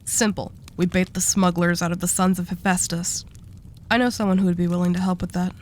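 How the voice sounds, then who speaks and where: A woman's voice: on the low side, fairly even intonation, a little fast, a little mellow, a little hiss or hum, natural voice. A woman acting as a dominant female mafia boss in an intimate audio roleplay, composed, teasing and coolly seductive. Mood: cool, scheming. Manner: teasing, condescending endearments, composed.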